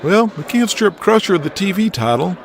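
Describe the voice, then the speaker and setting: A foul-mouthed, fretful wrestling booker, acted by a man in an audio drama. His voice sits fairly high and moves with lively, blunt emphasis. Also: a little fast, a crowd in the background. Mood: scheming.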